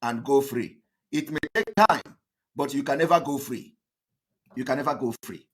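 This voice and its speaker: A man's voice: medium pitch, normal speed, fairly bright tone. A man with a Nigerian accent giving an impassioned political monologue, emphatic and defiant, often repeating his phrases. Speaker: political activist broadcaster.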